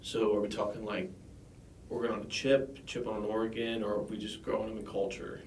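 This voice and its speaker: A man's voice: on the low side, level tone, brisk, slight background noise. Eager man asking questions, echoey room. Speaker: podcast co-host. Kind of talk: casual interview chat. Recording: echoey room, far from mic.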